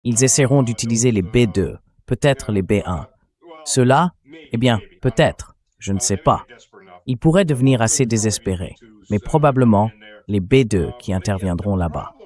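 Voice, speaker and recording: Man, synthetic voice-over, lowish pitch, steady explanatory delivery, military commentary. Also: normal speed. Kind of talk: synthetic voice-over reading a translated interview.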